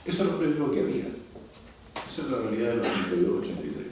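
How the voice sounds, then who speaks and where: Older Chilean male speaker, formal and emphatic, measured phrasing, heard far from the mic with faint noise. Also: medium pitch, lively intonation. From Santiago, Chile. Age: 60s or older. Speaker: university leader, speaker at a cultural forum. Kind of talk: formal prepared speech with asides. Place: indoor cultural venue, picked up from afar. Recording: very echoey hall, distant mic, faint noise.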